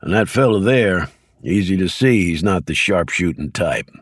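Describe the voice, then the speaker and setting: A middle-aged small-town sheriff speaks in a plain, firm, no-nonsense way, as a male audiobook narrator voices him. The voice is low and even, and it is recorded close and dry. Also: normal speed, no room sound. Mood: matter-of-fact. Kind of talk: audiobook character voice.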